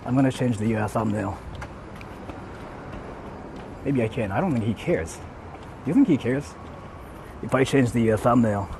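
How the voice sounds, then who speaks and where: A man's voice: medium pitch, unhurried, creaky, long pauses, background noise. A man chatting casually on a livestream, hesitant and repeating himself as he talks. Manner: hesitant, repetitive, mixes English and Japanese. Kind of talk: casual livestream chat with viewers.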